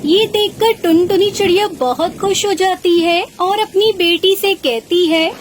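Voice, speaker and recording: A woman's voice: extremely high pitch, a little slow, a little hiss or hum. A woman narrating a children's cartoon story from a script.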